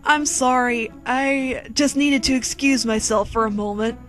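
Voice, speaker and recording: A woman's voice: fairly high pitch, a little slow, close to an everyday voice. A woman voicing a suave but flustered, exasperated young man in a comedic fan fiction reading. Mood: uneasy, evasive. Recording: quirky music behind.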